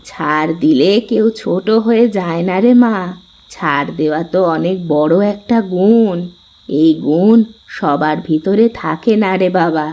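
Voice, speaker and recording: A woman voicing a gentle, patient old mother-in-law in a cartoon story, soothing and advising. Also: on the low side, a little slow, highs cut off. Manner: soothing, advising, affectionate address.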